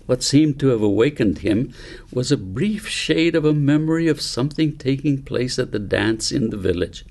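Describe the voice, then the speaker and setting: A man narrating a novel as its author, in measured, deliberate phrases with pauses. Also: medium pitch, animated, vocal fry, darkish tone. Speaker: author reading his novel. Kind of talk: scripted audiobook narration.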